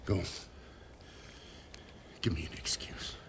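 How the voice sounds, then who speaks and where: A man's voice: slow, background noise, natural voice. An actor playing a bitter, hostile army sergeant who taunts and swears at his men. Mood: menacing.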